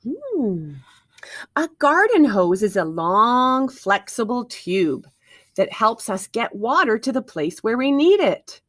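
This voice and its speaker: A woman's voice: on the high side, very animated, slow, fairly bright tone, dry recording. A woman reading aloud to young children like a teacher, expressively, with questions and wondering asides to her listeners.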